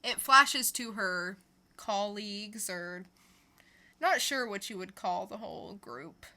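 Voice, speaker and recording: Bright, unhurried woman podcast co-host. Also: medium pitch, animated. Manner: informal storytelling recap. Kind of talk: casual comic book review chat.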